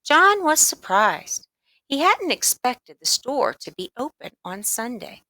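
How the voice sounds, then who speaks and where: Expressive woman reading a children's story, bright voice. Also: high-pitched, slow pace, no echo, poor audio. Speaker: storybook reader. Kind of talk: scripted narration, children's read-aloud.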